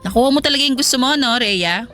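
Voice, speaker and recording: An actress playing a resentful, sarcastic older sister-in-law in a Filipino radio drama, with a snide, cutting manner. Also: female voice, high voice, expressive, fast talker, sharp tone, soft background music.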